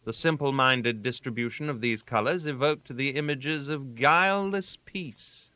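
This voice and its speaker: A man narrating a literary story aloud, with a theatrical, wry manner and deliberate emphasis on key words. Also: mid-range voice, bright, forceful tone, no room sound, poor audio. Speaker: audiobook narrator.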